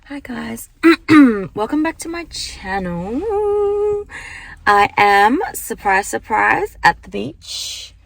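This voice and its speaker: A young woman with a croaky morning voice, chatting casually into a handheld phone at the beach. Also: a little high, big pitch swings, drawn-out words. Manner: chatty, rambling, lots of fillers. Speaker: vlogger. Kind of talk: casual chat vlog. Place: car at the beach, then walking outdoors.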